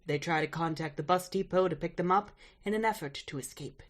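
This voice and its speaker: A woman playing a formal storyteller, narrating a horror audio drama in a measured way. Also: lowish voice, close to an everyday voice. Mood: ominous, composed.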